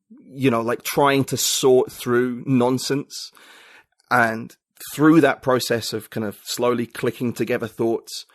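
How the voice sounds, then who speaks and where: A man's voice: medium pitch, no room sound. Reflective British man, dry close sound. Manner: hesitant, many restarts and fillers, reflective. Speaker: podcast guest, writer. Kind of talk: casual conversation.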